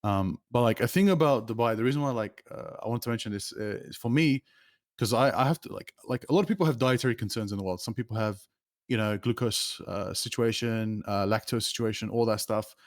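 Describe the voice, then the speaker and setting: A young man chatting casually on a stream, animated and quick to laugh. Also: lowish voice, no echo, clean studio sound. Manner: animated, laughs often, says bro a lot. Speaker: streamer guest. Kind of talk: casual chat.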